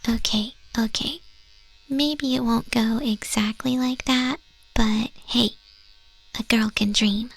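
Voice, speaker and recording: A woman voicing a friendly goth moth monster-girl tattoo artist in an ASMR roleplay, chatting gently in a soft, breathy, often whispered voice. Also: fairly high pitch, fairly even intonation, slow, no room sound, full character voice, rough recording. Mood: playful, dreamy. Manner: gentle, casual, chatty, reassuring. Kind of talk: ASMR roleplay, spoken to the listener. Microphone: close microphone.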